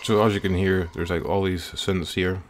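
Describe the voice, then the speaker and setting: Male music producer, low register, informal and slightly hesitant, talking through a screen-recorded software tutorial. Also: steady tone, normal speed. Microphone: voice-over recorded while working at computer.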